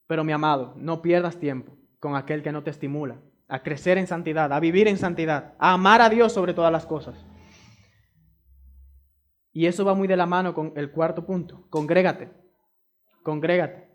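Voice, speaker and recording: Male preacher, high voice, emphatic delivery, repeats his lines to the flock. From Dominican Republic. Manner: emphatic, repeats key phrases; addresses the congregation directly. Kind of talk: sermon.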